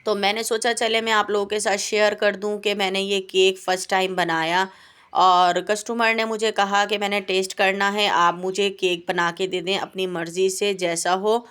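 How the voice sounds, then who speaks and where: A woman's voice: mid-range voice, little pitch movement, moderate pace, fairly bright tone, smooth. A woman with a sore, coughing throat, chatting in a rambling, plaintive voice-over for her cooking channel. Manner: plaintive, complaining, rambling with hesitations. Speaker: home baker and cooking channel host. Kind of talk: voice-over vlog chat. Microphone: home voice-over mic.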